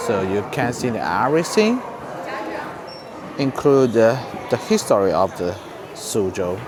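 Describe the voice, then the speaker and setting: A man from China with a Chinese accent narrates an informal museum tour vlog in halting, simple non-native English. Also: lowish voice, big pitch swings. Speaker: vlogger giving a museum tour. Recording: clear background noise, on-location ambience.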